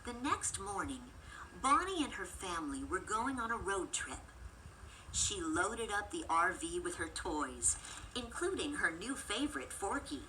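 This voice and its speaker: A woman reading a scripted children's read-along story in an expressive, measured storytelling manner. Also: lowish voice, a little hiss or hum, poor audio. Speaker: storybook narrator. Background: music.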